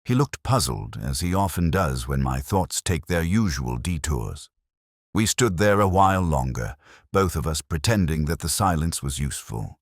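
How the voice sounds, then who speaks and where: A man playing a melancholy middle-aged English police detective, narrating in a measured, literary and wistful way as if from a notebook. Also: very deep voice, sing-song, no echo, clean studio sound, heavily acted. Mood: brooding. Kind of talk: scripted first-person dramatic narration.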